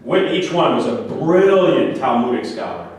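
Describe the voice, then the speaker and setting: A man's voice: mid-range voice, sing-song, moderate pace, lightly acted. A man in a staged play reading, playing a grandiose, argumentative, flirtatious psychiatric patient with delusions. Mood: reflective. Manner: argumentative, rambling, self-justifying. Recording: very echoey room, distant mic, faint noise.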